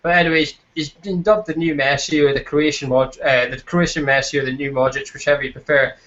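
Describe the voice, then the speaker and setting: Scottish male host, slightly high-pitched, self-deprecating and conversational, for casual fan chat. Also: steady tone, fairly quick, fairly bright tone. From Scotland. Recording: dull lo-fi voice chat.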